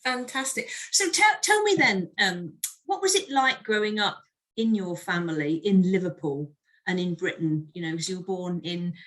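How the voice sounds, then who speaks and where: A woman's voice: on the low side, expressive, normal speed, a bit bright, low-quality recording. A woman hosting a webinar interview, asking long, meandering questions full of hesitations. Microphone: video call.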